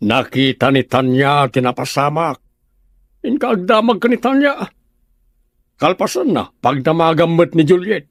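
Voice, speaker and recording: A man's voice: fairly high pitch, big pitch swings, a little slow, no echo, clean studio sound. A man with a northern Philippine accent playing a villager who eagerly relays gossip in an audio drama, explaining things insistently.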